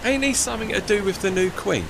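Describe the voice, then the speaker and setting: An actor voicing a chummy, unschooled working steamboat in an audio play, blunt and colloquial, with a London Cockney accent. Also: male voice, high voice, fast, gravelly, background noise. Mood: curious, envious. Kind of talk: audio drama dialogue.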